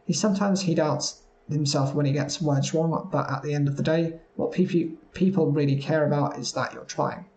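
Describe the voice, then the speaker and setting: A man reading news stories aloud at a steady pace, sometimes stumbling over words. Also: fairly high pitch, moderate pace, few pauses, echoey room, low-quality recording. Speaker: news reader.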